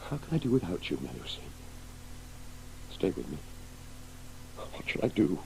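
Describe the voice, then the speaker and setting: An actor playing an anguished middle-aged father full of guilt and grief in a radio play, halting and repeating himself. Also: sits low, huge pitch swings, creaky, heavily acted, low-quality recording. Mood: grieving, pleading. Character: anguished, guilt-ridden father grieving a lost woman. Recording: faint hiss of an old radio broadcast.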